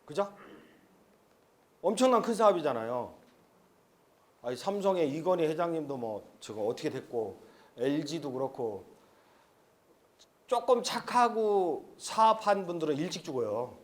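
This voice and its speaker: A man's voice: fairly high pitch, lively intonation, measured pace, long pauses. A man in his fifties giving a frank, rambling seminar lecture at an expo, with many fillers. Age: 50s. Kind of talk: live seminar lecture to an audience.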